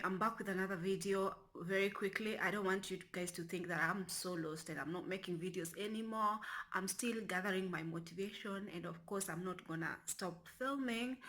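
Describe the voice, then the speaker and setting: A woman's voice: on the low side, fairly bright tone. A young adult woman from Kenya chatting casually to her camera in a rambling vlog, often restarting her sentences and dropping to a near whisper. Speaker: vlogger.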